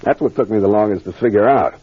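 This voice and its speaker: An American man playing a confident detective captain in a 1940s radio mystery, explaining things deliberately and with authority. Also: lowish voice, slightly rough. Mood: assured, explanatory. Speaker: actor playing the investigator. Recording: muffled old radio recording.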